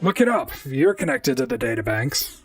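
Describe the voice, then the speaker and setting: A robot gumshoe in a radio-style drama, dry-witted and chatty. The pitch swoops widely over a gravelly, creaky edge. The voice sits slightly back from the mic in a dry space. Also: huge pitch swings, moderate pace, no room sound, recorded from a distance, heavily acted. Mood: wry, calm. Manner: dry, conversational first-person noir narration. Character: wry robot private detective, talkative and unflappable. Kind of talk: audio drama acting and narration.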